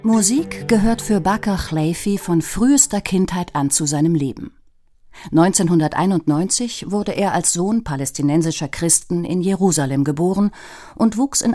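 A woman reading a scripted documentary narration in a measured, even way. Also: on the low side.

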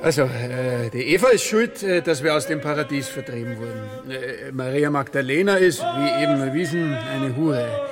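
A man's voice: mid-range voice, moderate pace, close to an everyday voice. A man playing a timid, fussy, earnest clergyman in a radio play, hesitant and stammering. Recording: reverberant hall, music behind.

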